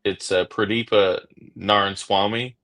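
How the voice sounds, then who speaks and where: A man's voice: lowish voice, fairly even intonation, moderate pace, fairly bright tone. Rambling man with an Oklahoma accent. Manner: rambling, many fillers and restarts. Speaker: podcast host. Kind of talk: casual interview chat.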